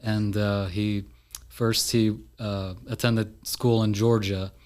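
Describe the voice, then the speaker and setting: A man's voice: fairly low pitch, flat delivery, slow pace, darkish tone. A man of about thirty from Iran, a podcast guest talking casually in a studio with lots of "uh" and "you know" fillers. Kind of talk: casual interview talk.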